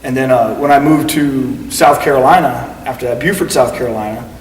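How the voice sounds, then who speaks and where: A man's voice: mid-range voice, a little fast, recorded from a distance. A man being interviewed as a guest, telling long stories in a casual, rambling way with lots of fillers. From United States. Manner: rambling, full of fillers and acted-out quotes. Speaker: guest wrestler. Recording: echoey room heard from afar with faint noise.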